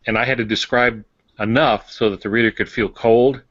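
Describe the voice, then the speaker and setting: A man in casual talk about writing craft as a podcast panelist, explaining haltingly with dry humor. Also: medium pitch, fairly even intonation, a bit bright, no echo, dull audio. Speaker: fiction writer, podcast panelist.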